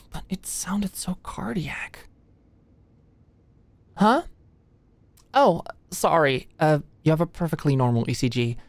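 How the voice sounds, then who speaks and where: A woman's voice: sits low, sing-song, takes it slowly, pauses often, no echo, exaggerated voice. A woman playing an anxious, flustered young female medical student in an intimate ASMR roleplay, shy, hesitant and stammering. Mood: puzzled, awkward. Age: young adult. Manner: stammering, hesitant, muttering asides under breath. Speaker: voice actor in audio roleplay.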